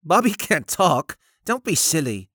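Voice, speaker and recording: A man's voice: very high-pitched, big pitch swings, moderate pace, dry recording, clean studio sound, voice pushed up. A man reading an audiobook, voicing a lonely, earnest young boy who pleads in ungrammatical country speech. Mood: sulky.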